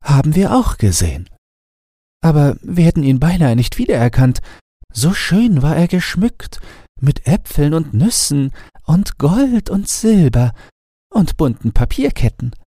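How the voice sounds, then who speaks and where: A man voicing excited, gossipy little sparrows chattering in a bedtime fairy tale. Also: on the high side, a little slow, dry recording, studio quality, pitched above the performer's own voice. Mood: excited, admiring. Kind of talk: bedtime storybook character voice.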